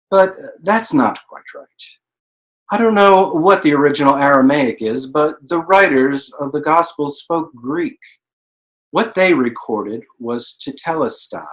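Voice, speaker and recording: Man acting a thoughtful, learned, mid-pitched storyteller. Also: fairly bright tone. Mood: explanatory. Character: thoughtful, learned narrator reflecting on the Hollywood blacklist. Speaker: stage actor in a play reading. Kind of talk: theatrical monologue in a staged reading.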